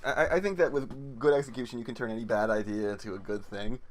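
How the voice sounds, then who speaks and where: A man's voice: mid-range voice, fast, slight background noise. A man co-hosting in a casual chat, commenting bluntly and swearing freely. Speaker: co-host commenting on the reading.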